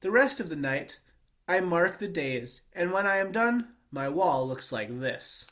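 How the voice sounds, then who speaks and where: A man reading a children's book aloud in a measured, expressive storytelling manner with short pauses. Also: sits high. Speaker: read-aloud reader.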